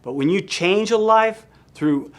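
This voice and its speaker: Male surgeon, interview testimonial, unscripted delivery, slightly high voice. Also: animated, unhurried. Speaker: weight loss surgeon.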